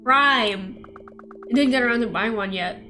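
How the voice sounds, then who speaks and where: A woman's voice: on the high side, measured pace, bright, forceful tone. A woman voicing a broke, blunt college student in a visual novel, with a dry, teasing, slangy manner. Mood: wry, teasing. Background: game music.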